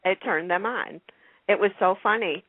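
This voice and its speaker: A woman's voice: mid-range voice, moderate pace, no echo. Woman chatting on a tinny phone line. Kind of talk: casual chat about a TV soap.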